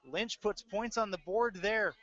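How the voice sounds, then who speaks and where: A man's voice: high-pitched, measured pace, a bit bright. An American man working as a play-by-play announcer, giving live commentary on a football game. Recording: dull, lo-fi webcast audio.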